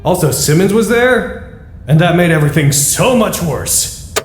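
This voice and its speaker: An actor playing a brooding, wary facility staffer recording his observations in an audio drama, measured and ominous with dry wit. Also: high-pitched, expressive, slight background noise. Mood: uneasy, wry. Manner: measured, ominous, dryly wry.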